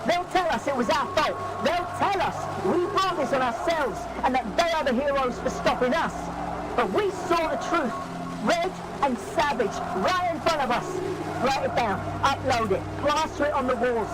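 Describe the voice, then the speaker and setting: A woman's voice: sits high, animated, moderate pace. A woman playing a defiant rebel broadcasting to rioters, urgent and impassioned, in an audio drama. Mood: impassioned, bitter. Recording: very noisy street broadcast with vehicles.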